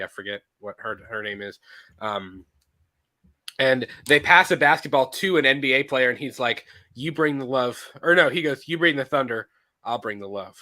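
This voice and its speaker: A man co-hosting a casual movie podcast, a little stuffy from allergies, animated and quick to laugh, often restarting his sentences. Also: medium pitch. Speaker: podcast co-host. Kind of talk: casual chat.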